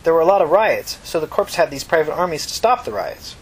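A man's voice: fairly high pitch, very animated, a little fast, husky, full character voice, rough recording. A man voicing a tired, solemn, talkative old woman with a folksy, earnest manner in a fiction reading. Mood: reminiscing, emphatic.

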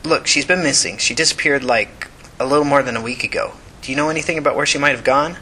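A man's voice: mid-range voice, fast talker, bright, forceful tone, slight background noise, pitched above the performer's own voice, natural voice. A man voicing an anxious, pushy young woman in an audiobook, asking questions in an urgent, casual way. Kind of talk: audiobook character acting.

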